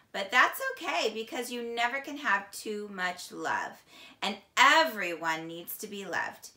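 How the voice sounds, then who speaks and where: Middle aged lady reading a kids book out loud, really slow n expressive, echoey room. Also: fairly high pitch. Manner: slow, deliberate, expressive storytelling. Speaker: teacher reading aloud. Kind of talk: children's picture book read-aloud. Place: small indoor room, seated on couch. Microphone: camera a few feet away.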